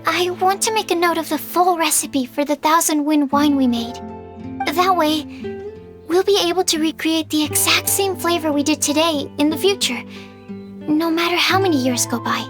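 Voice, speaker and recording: Game role: actress as timid young alchemist stallkeeper, high-pitched, earnest and hesitant, soft music behind. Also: female voice, moderate pace, natural voice. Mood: shy, apologetic. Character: shy, polite young female alchemist running a stall. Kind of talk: video game character voice acting.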